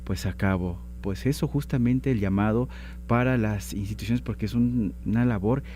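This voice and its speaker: A Mexican man speaks as a radio host in a fairly low, dark and relaxed voice. His delivery is conversational and hesitant, often searching for the right word. There is a slight hum in the background. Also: normal speed. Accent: Mexican Spanish.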